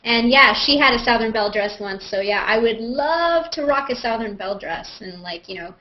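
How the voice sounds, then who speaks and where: A woman with a slightly high voice hosts a loose group chat. She talks in a joking, slangy way. The sound is dull and lo-fi, like a video call. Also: expressive, normal speed. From Canada. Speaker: host. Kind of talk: casual group chat on a live video hangout.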